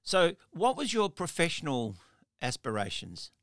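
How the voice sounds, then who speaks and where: Man, interview host, bright tone, rambling questions, dry close sound. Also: on the high side, huge pitch swings, a little slow, no room sound. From Australia. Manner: rambling questions with frequent restarts and laughs. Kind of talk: casual conversational interview.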